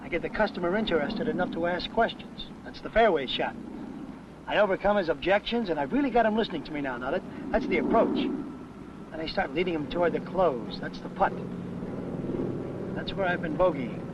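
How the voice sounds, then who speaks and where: Affable, earnest salesman, male actor: high-pitched, eager to explain, on a muffled seventies industrial film track. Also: lively intonation, a little fast, close to an everyday voice. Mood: earnest, explanatory. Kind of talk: 1970s sales training film dialogue. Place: mostly outdoors on a golf course. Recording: muffled, very noisy 1970s 16mm film sound.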